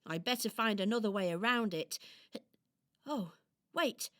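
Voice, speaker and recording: A woman's voice: mid-range voice, animated, measured pace, fairly bright tone, no echo, clean studio sound. A woman playing a timid, earnest young female hero who is trying to be brave, hesitant and wondering, in a children's radio play. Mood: nervous resolve.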